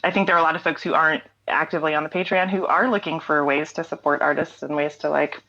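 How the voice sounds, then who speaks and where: A woman's voice: sits low, fairly even intonation, fast, bright, forceful tone, dull audio. A woman guest speaking candidly in a casual interview, reflective, with frequent ums and restarts. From North Carolina. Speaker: interview guest, singer-songwriter.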